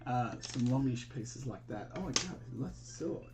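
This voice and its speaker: An Australian man chats casually as a live stream host, his voice sitting at a medium pitch. He rambles and hesitates as he thinks aloud, addressing viewers in a friendly, informal way. Music plays behind him. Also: expressive, moderate pace. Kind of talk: casual chat while building, answering viewers.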